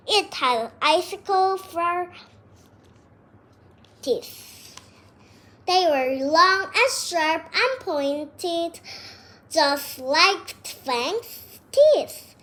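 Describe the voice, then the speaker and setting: A child reading a detective story aloud word by word, with halting breaks between phrases. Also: very high-pitched, sharp tone.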